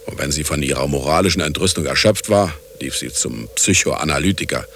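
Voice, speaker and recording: An actor playing a cynical, self-justifying scientist and husband in a radio play, sardonic and condescending, with a gravelly voice. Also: male voice, a bass voice, brisk, fairly bright tone, slight background noise. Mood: sardonic, brooding. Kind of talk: radio play acting, monologue and dialogue.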